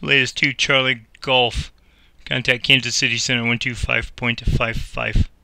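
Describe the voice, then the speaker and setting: Man, crisp and forceful, creaky edge, air traffic controller phraseology, casual gameplay commentary. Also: medium pitch, level tone, unhurried, no room sound.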